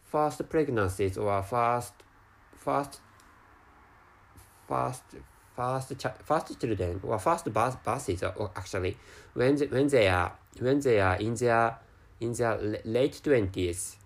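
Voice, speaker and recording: A man with a Japanese accent, a native Japanese speaker, talking alone on his podcast in slow, halting English full of restarts and repeated words. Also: medium pitch. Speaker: podcast host. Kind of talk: casual solo podcast monologue.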